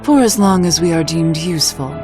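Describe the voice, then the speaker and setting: A woman playing a proud, lonely, resolute witch in a video game, with formal and measured speech. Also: low-pitched, expressive, over music. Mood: cool, wry. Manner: formal, measured, archaic phrasing. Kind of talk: scripted video game voice acting.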